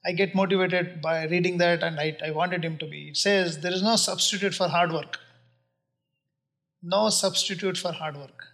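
High-voiced Indian man, earnest and measured. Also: a bit bright. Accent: Indian English. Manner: earnest, measured, pauses with many uh and um fillers. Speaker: real estate investor guest. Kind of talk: casual interview answers.

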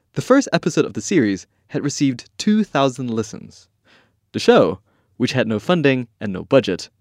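A man's voice: medium pitch, no room sound, studio quality. A man hosting a podcast, reading scripted narration that tells a guest's story. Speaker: podcast host and interviewer.

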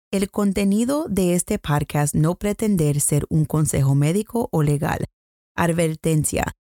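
A woman with an American English accent narrates her true crime podcast in careful, earnest Spanish. Her voice sits a little low and sounds warm and mellow. The recording is close and dry, with soft music underneath. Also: dry recording. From United States. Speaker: podcast host.

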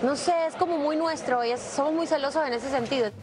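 A woman answering questions in a press interview, soft and reflective. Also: high-pitched, fast talker. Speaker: celebrity interviewee.

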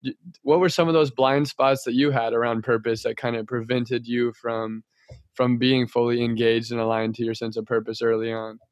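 Man, interview host, smooth voice, dry close sound, hesitant questions. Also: medium pitch, level tone, moderate pace, no room sound. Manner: hesitant, lots of fillers. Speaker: podcast host.

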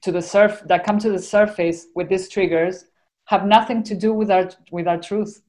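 A woman's voice: medium pitch, fairly even intonation, moderate pace, darkish tone. Earnest Argentinian woman, rambling. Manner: earnest, rambling, lots of "you know". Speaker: podcast guest. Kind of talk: casual spiritual conversation.

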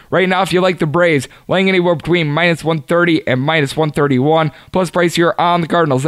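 Betting podcast guy, bit raspy, higher pitched, steady and full of stats, dry close mic. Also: dry recording. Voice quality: slightly raspy. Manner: steady, stat-heavy delivery. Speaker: sports betting podcast host. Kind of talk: solo betting analysis.

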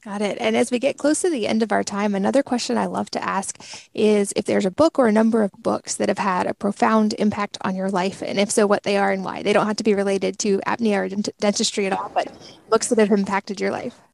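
Creaky-voiced woman podcast host. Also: medium pitch, steady tone, brisk, darkish tone, dry recording. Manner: curious, affirming. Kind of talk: casual interview questions.

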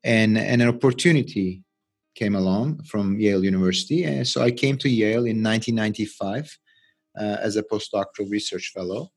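A middle-aged man with a Turkish accent, giving unscripted interview answers as a scientist, halting and often repeating words. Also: lowish voice, breathy edge, faint music behind. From Turkey. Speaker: interview guest, research scientist and physician.

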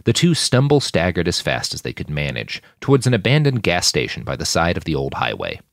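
Low-pitched man narrating dramatic fiction. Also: brisk, dry recording, clean studio sound. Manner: expressive, shouts out characters' lines. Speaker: audiobook narrator reading own fiction podcast.

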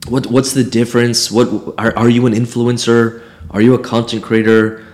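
A man co-hosting a podcast about online coaching, hoarse and losing his voice, talking casually and candidly. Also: medium pitch, little pitch movement, moderate pace, darkish tone. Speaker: podcast co-host.

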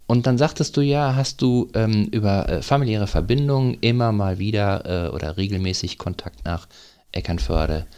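A man's voice: on the low side, normal speed, dark tone, clean tone. A man from North Rhine-Westphalia hosting an interview, talking casually and hesitantly, with lots of fillers and restarts. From North Rhine-Westphalia, Germany. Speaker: host.

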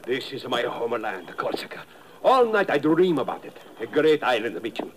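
A proud, self-important Corsican , acted by a man, with a very animated, grandiose delivery. It is 1950s radio drama, with faint noise on the recording. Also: medium pitch, normal speed, lightly acted. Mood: proud, dreamy. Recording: old, slightly noisy radio recording.

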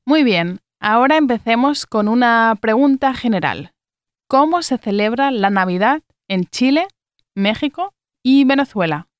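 A woman with an accent from Spain co-hosts a learners' podcast in a smooth, clear voice. She guides the talk with questions, and the sound is dry and close. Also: fairly high pitch, animated, measured pace, dry recording. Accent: Spanish, from Spain. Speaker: podcast co-host. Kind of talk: scripted educational podcast.

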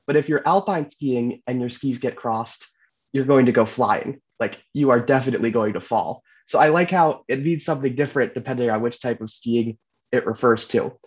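Chatty male podcast host, lo-fi. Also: medium pitch. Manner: relaxed, chatty, uses fillers. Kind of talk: casual podcast chat.

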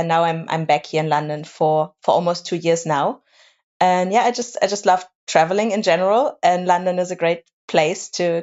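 A woman's voice: fairly low pitch, a little mellow, highs cut off. A woman, speaking as a podcast guest and often repeating words as she gathers her thoughts. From Germany. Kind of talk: casual interview chat.

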